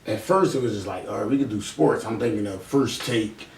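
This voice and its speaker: A man's voice: on the low side, brisk. A man with a Philadelphia accent in casual podcast conversation, talking in slangy, profane language. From Philadelphia, United States. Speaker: podcast host. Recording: roomy echo, distant mic, faint noise.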